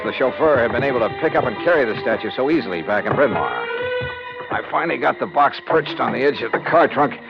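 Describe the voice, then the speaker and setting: An actor playing a brisk, skeptical insurance investigator in a 1950s radio noir, wry, clipped and confident. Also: male voice, medium pitch, close to an everyday voice. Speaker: lead detective and narrator. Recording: muffled old radio recording, music behind.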